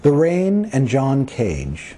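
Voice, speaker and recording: A man reading his own poems as a poet, with measured, deliberate phrasing and pauses between short phrases. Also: medium pitch, huge pitch swings, dark tone. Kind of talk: poetry reading of own work.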